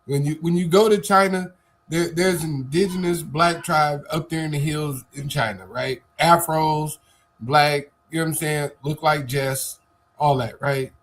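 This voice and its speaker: A man's voice: on the high side, steady tone, unhurried, a bit bright, a little hiss or hum. Assertive man arguing with rhetorical questions. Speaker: live stream co-host. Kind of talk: casual debate chat.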